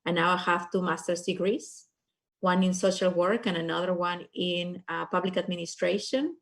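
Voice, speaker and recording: A middle-aged woman with a Peruvian Spanish accent speaks in a somewhat low register. She introduces herself to a panel in an earnest, measured way. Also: fairly even intonation. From Peru. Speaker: state legislator, panelist.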